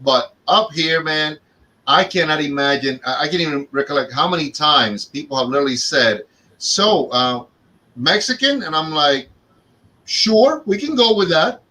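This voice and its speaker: A man's voice: on the high side, moderate pace, low-quality recording. A man from Cuba with a Cuban accent, co-hosting a casual chat and acting out the voices in his stories. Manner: animated storyteller acting out dialogue.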